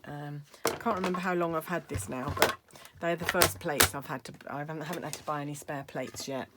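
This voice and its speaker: A middle-aged woman with a slightly croaky voice, chatting casually as she demonstrates card making at her craft table. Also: sits low, steady tone, brisk, heavy background noise. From United Kingdom. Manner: chatty, thinking aloud while working.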